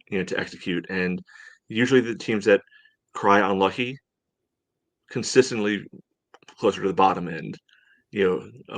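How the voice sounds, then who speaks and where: A man hosting a soccer podcast, chatting casually through match analysis in a halting way full of filler phrases. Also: deep voice, a bit bright, dry recording. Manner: halting, peppered with "you know".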